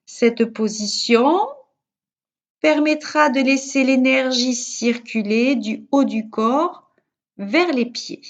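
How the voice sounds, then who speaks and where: A woman yoga teacher guiding a relaxation session in a calm, measured, soothing manner with pauses. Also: high voice. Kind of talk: guided yoga nidra relaxation instruction.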